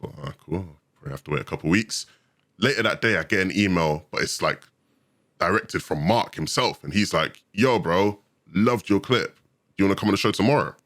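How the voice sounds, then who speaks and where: Young guy, twenties, deep low voice, brisk excitable storyteller, dry close mic. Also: no room sound. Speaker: podcast guest. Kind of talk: casual storytelling chat.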